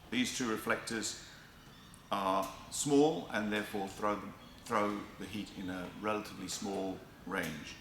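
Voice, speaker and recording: A man presenting a product demonstration in a deliberate, explanatory way with emphatic stresses. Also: lowish voice. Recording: echoey room, faint background noise.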